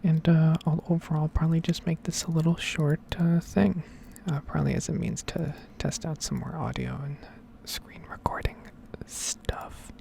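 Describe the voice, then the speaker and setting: A woman whispering and speaking very softly in a hesitant, unhurried ASMR ramble, recorded at home on a desktop microphone. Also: low voice, animated, creaky. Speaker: streamer making ASMR gaming videos. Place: indoor home setup. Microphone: Blue Yeti desktop microphone.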